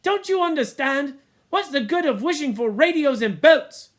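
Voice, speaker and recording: A man's voice: very high-pitched, expressive, normal speed, fairly bright tone, pitched above the performer's own voice. A man voicing an anxious, fussy schoolboy with asthma, pleading and insistent. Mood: urgent.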